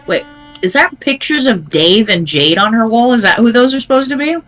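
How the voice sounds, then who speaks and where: A man's voice: very high-pitched, expressive, a little fast, sharp tone, soft background music. A man giving casual reactions and remarks during a group reading session.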